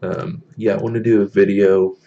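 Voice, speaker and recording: A young man with a deep voice chatting casually on a live stream from a small room, halting with many ums as he reads the chat. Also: little pitch movement, warm tone, lo-fi sound. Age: young adult.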